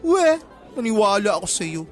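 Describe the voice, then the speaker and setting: A man's voice: sits high, very animated, moderate pace, a bit bright. An actor playing a flamboyant, effeminate young male student with a Filipino accent and a sassy, teasing manner, in Tagalog radio drama style. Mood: teasing, catty. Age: young adult. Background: background music.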